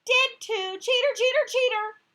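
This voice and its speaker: A woman voicing an angry young schoolgirl who shouts accusations. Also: very high voice, measured pace, voice pushed up.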